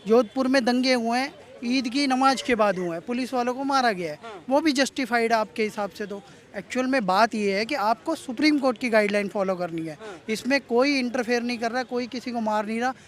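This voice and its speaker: A man's voice: extremely high pitch, brisk, few pauses. A man in an outdoor street debate, explaining his case at length and argumentatively, mixing in English words. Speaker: street interviewee.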